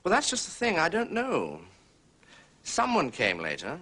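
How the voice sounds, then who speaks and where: Bright, forthright gentleman witness. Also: a little high, wildly swooping pitch, measured pace, full character voice. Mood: blunt. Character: blunt, uneasy gentleman witness, mildly scornful. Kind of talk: period detective radio drama acting.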